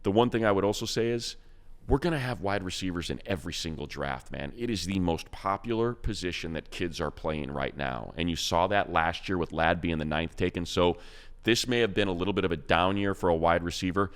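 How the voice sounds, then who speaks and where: Casting: deep, smooth male sports announcer, rambling and emphatic. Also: fairly quick. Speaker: radio play-by-play announcer and co-host. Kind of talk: animated football talk.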